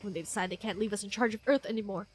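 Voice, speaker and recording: A congested woman streaming a video game, reacting excitably with frequent gasps and laughs. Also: mid-range voice, rapid-fire, music in the background. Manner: excitable, gasping and laughing often. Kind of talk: casual gameplay commentary.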